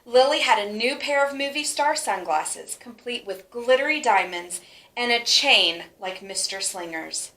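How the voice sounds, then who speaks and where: Echoey, higher-voiced woman reading a storybook. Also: measured pace. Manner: animated, emphatic phrasing for young listeners. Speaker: teacher reading a picture book aloud. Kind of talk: expressive children's storybook read-aloud.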